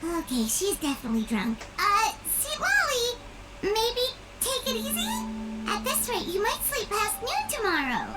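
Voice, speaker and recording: Squeaky tiny fairy girl companion. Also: huge pitch swings, normal speed, very noisy, exaggerated voice. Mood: concerned. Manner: squeaky, blunt, refers to herself by name. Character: tiny childlike female fairy companion, chirpy, nosy. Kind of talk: video game voice acting. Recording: game dialogue under loud music.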